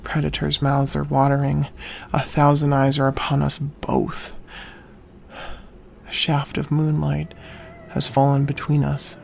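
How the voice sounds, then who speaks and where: An actor playing a wary, stern great-cat hunter, narrating in the first person in grave, clipped, deliberate phrases for an audio drama. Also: male voice, a little high, completely flat delivery, creaky, a little hiss or hum. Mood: grave, brooding. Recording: music under the voice. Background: dramatic music.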